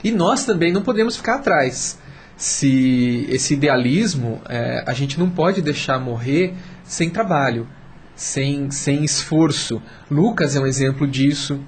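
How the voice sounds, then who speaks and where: Higher-voiced Brazilian man over background noise. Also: expressive, unhurried. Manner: emphatic, repeats phrases to build a point. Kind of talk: persuasive, explanatory discussion.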